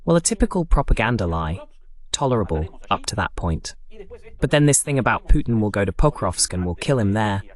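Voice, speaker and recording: Lively male political commentator. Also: on the low side. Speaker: host of political analysis broadcast. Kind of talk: political commentary, English voice-over of a live talk.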